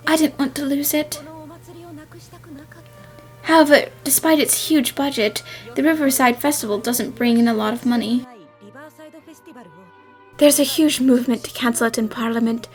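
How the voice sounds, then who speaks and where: A woman playing earnest, expressive young female characters in an anime-style detective fan dub. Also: sits high, normal speed, long pauses, faint music behind.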